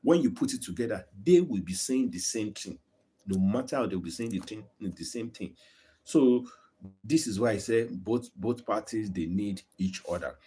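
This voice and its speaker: A man's voice: fairly low pitch, expressive, normal speed, dark tone, slightly rough. A Nigerian man with a Yoruba accent, hosting his own channel and arguing a point earnestly to viewers, often repeating words as he builds it. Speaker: YouTube channel host. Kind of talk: live-streamed opinion talk to viewers.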